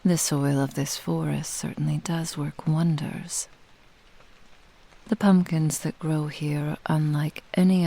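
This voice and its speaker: Woman doing a possessive kitsune witch for asmr roleplay, slow n creaky, sultry and teasing, slips into whispers. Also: deep voice, mellow, exaggerated voice, low-quality recording. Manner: slow, teasing, archaic formal phrasing, often sinking into breathy whispers. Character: possessive yandere female fox-spirit witch, sultry and doting. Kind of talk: ASMR roleplay, intimate scripted monologue to the listener.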